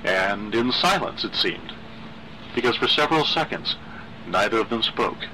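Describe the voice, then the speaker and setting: A male storyteller reads literary horror in a measured, dramatic style. His voice is on the low side and strikingly bright and sharp, with faint noise behind it. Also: expressive, rough recording. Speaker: story narrator.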